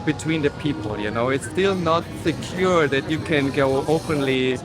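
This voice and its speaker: A man's voice: fairly high pitch, normal speed. A man from Austria, speaking earnestly outdoors at a city parade. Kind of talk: street vox pop. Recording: clear music behind.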